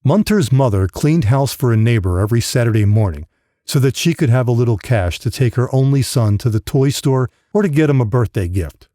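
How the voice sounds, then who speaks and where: A man's voice: medium pitch, lively intonation, a little fast, warm tone, dry recording, studio quality. A man reading a grim novel as scripted audiobook narration.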